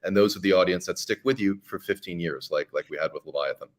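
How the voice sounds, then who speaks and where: A middle-aged man speaks in a fairly low voice, answering earnestly as a podcast guest. He stumbles and restarts as he works out his points. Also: fast. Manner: earnest, with frequent restarts and repeated words. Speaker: fiction podcast creator and guest. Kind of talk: conversational interview answers.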